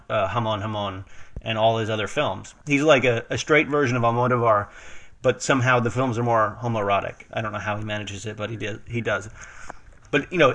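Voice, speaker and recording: A man's voice: mid-range voice, fairly bright tone. A man hosting an interview podcast, speaking in rambling, filler-heavy sentences. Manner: rambling, heavy use of fillers like "you know" and "uh". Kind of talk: casual interview chat.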